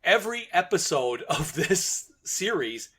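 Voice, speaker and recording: A man's voice: high-pitched, big pitch swings, unhurried, bright, forceful tone, breathy edge. A man co-hosting a podcast, chatting casually with his fellow host. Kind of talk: casual chat about an audio drama.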